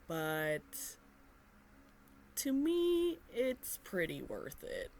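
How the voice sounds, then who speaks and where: A woman narrating her gardening video in a gentle, unhurried way with frequent fillers, her voice slightly nasal from a cold. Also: on the low side, slight background noise. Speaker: gardening vlogger.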